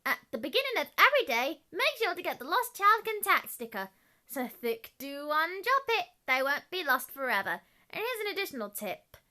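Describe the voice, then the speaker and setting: Very high, bright young female tour presenter. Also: very animated, moderate pace. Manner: perky, exclamatory children's presenter delivery. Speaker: junior reporter presenting a park tour. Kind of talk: scripted promotional tour narration.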